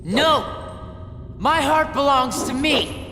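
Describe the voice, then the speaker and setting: A man's voice: very high-pitched, slow pace, background noise, heavily acted. An actor playing an angry, wounded, defiant teenage boy hero in a video game cutscene, with raw emotion. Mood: furious, defiant. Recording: echoey long reverb, music underneath. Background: clear game score music.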